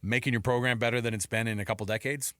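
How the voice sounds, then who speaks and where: A man's voice: mid-range voice, fairly even intonation, quick pace, a bit bright, no room sound. A man co-hosting a sports talk radio show in an emphatic, informal, opinionated way, often repeating himself, on a close studio mic. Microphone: close broadcast studio mic.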